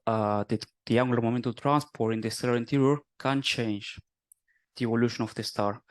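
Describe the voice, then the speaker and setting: A young man in his twenties from Argentina, presenting a scientific conference talk in a steady, explanatory way. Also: medium pitch. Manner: explanatory, steady, with small self-corrections. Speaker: conference speaker, doctoral student.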